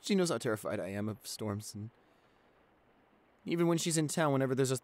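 A man acts out a shy young boyfriend in an intimate audio roleplay. He is anxious and soft-spoken, stammering and hesitating as he talks, with a medium-pitched voice. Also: animated, fairly quick, natural voice. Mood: calming down. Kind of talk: boyfriend ASMR roleplay acting.

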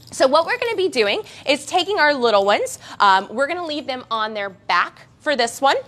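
A young woman teaching a parent and baby gym class in a coaxing, sing-song way, her voice swooping up high on playful words. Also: a bit bright. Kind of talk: instructional class for parents and babies.

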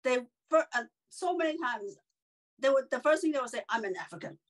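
A middle-aged woman from China, a podcast guest, talking in an animated way with many fillers and restarts over a video call. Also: high voice, fairly quick. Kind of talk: casual interview chat.

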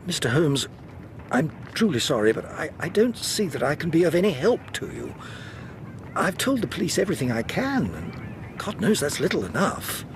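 A flustered, apologetic gentleman in a radio drama. He is hesitant and courteous, with a slightly high, swooping voice over clear background rumble. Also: wildly swooping pitch, normal speed. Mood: apologetic, nervous. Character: flustered, apologetic gentleman witness, anxious to be exact.

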